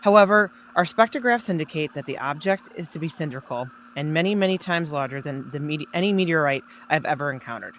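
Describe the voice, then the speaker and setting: A woman's voice: low-pitched, expressive, a bit bright, slight background noise, rough recording. An actress playing a learned, cautious female astronomy professor, speaking precisely as an expert in a radio drama. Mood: measured, cautious. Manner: precise, academic, deliberate. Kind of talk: old-time radio drama expert interview.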